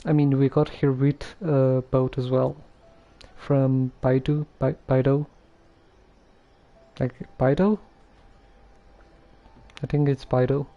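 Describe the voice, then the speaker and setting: A man's voice: medium pitch, level tone, slow, pauses often, lo-fi sound. A man doing casual live game commentary, thinking aloud in a hesitant, musing way. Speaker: game streamer.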